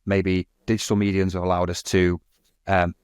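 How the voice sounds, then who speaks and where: Deep-voiced chatty man in his thirties. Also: level tone, normal speed, clean tone, no echo, clean studio sound. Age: 30s. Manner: fluent and chatty, leans on "you know" and "kind of". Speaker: agency guest. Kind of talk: casual podcast conversation.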